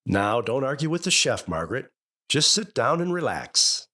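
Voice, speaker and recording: A man's voice: medium pitch, sing-song, moderate pace, pushed and bright. An actor playing an earnest, firm middle-aged father in a vintage radio family sitcom. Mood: jovial. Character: genial, authoritative middle-aged suburban father. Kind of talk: 1950s radio sitcom acting. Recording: vintage radio broadcast with music behind.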